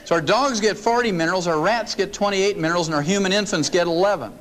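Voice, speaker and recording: A man's voice: high voice, fast talker, fairly bright tone, low-quality recording. An older man giving a folksy, joking seminar talk to an audience in a meeting room. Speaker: lecturer.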